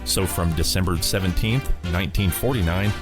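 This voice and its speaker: A man presents as a radio host. His voice is very deep and his delivery is scripted. Music plays clearly behind him. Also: moderate pace. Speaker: host of old-time radio rewind. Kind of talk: scripted introduction.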